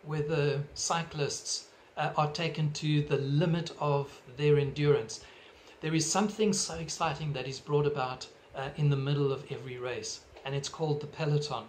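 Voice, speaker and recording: A middle-aged South African pastor delivers an earnest home sermon, his voice sitting a little high for a man. He phrases things in measured, emphatic steps. A faint hum of room ambience sits under the webcam sound. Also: fairly even intonation. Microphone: webcam at desk distance.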